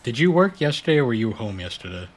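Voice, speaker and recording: A man's voice: medium pitch, big pitch swings, fast talker. A young man co-hosting a podcast, chatting casually with dry, facetious jokes. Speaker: podcast co-host. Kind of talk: casual chat.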